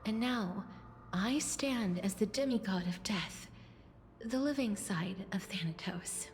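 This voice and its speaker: A woman playing a serene demigod of death in a game, speaking in a calm, graceful and formal poetic manner. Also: mid-range voice, slow pace. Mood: calm, regal. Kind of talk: game voice acting, poetic monologue. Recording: prominent music behind the voice.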